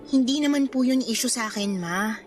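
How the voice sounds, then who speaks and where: A woman as a teenage daughter with a high voice and a Filipino accent. She is dutiful and polite but sounds defensive and a little sulky, in radio drama style over music. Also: a little fast, natural voice. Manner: polite, defensive, subdued sulking. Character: dutiful teenage schoolgirl daughter, quietly resentful.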